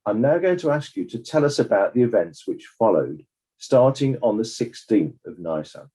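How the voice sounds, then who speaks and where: A man playing a formal, probing examiner who puts questions in a measured, official way in a dramatized inquest. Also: medium pitch, very animated, warm tone, natural voice, low-quality recording.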